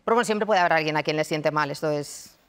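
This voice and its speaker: A woman from Madrid, a university researcher and lecturer in her thirties, giving measured, reflective answers in a TV studio interview. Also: deep voice, fairly bright tone, smooth. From Madrid, Spain. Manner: thoughtful, measured, frequent restarts. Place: television studio.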